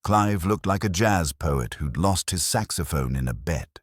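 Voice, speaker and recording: A man narrating a scripted first-person detective story in a wry, measured storytelling manner. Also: deep voice, animated, no room sound, studio quality.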